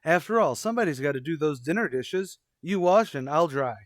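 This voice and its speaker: A man's voice: fairly high pitch, animated, brisk, no echo, clean studio sound, close to an everyday voice. A man playing an awkward, literal-minded, bashful suitor in an old-time radio sitcom, hesitant and understated. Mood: earnest, oblivious.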